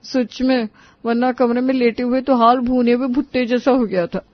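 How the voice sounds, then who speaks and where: A woman's voice: a little high, steady tone, moderate pace, dry recording, dull audio, natural voice. A woman playing a young, exasperated daughter-in-law with a dramatic way of talking in a cartoon story. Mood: relieved. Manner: complaining, dramatic. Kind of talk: acted dialogue in a cartoon story.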